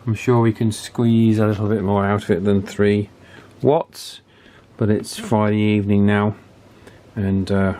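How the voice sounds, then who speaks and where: A British man with a lowish voice talks through hands-on repair work as a hobbyist presenter. His delivery is casual and matter-of-fact, as if musing aloud while he works. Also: darkish tone, a little hiss or hum.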